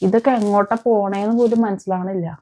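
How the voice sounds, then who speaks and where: A woman's voice: medium pitch, fairly even intonation, quick pace, dark tone. A woman giving emphatic, opinionated commentary on crime as a vlog host, repeating phrases and posing rhetorical questions. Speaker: vlogger, host.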